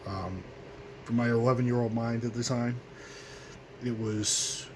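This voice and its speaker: A hoarse man in his twenties from the United States hosting his own radio show, speaking in a halting, earnest way full of ums and restarts. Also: medium pitch, steady tone, noisy background. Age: 20s. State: hoarse, coughing. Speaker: host of a home radio show. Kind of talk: solemn remembrance monologue.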